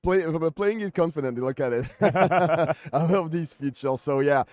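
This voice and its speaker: A man co-commentating a card game tournament in a conversational, somewhat halting way. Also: on the high side, no room sound, highs cut off.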